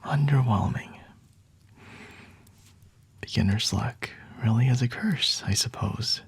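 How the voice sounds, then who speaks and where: Breathy, whispering flirty male vampire, acted by a man. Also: mid-range voice, dry recording, full character voice. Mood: teasing, smug. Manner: teasing, unhurried, condescending. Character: flirty, smug male vampire toying with a captured hunter. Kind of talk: whispered ASMR roleplay.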